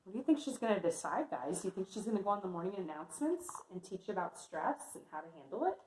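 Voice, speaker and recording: A woman's voice: mid-range voice, sing-song, fast talker, darkish tone, a little hiss or hum. A woman teaching young children in a read-aloud lesson, encouraging and often checking in with her listeners. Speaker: kindergarten teacher. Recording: echoey room sound.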